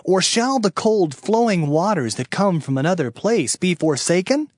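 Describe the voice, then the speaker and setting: A man giving a dramatized scripture narration as an audio Bible narrator, solemn and declamatory with deliberate phrasing. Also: sits high, animated, no echo, studio quality. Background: background music.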